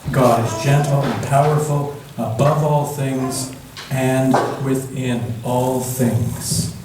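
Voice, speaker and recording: A man reading a picture book aloud as a storyteller, in a gentle, measured manner with long pauses. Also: medium pitch, fairly even intonation. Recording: echoey room from far off, background noise.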